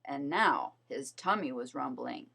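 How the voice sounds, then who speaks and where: A woman reading a children's story aloud as narrator, in an expressive, measured storytelling manner. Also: extremely low pitch, vocal fry. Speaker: storybook reader.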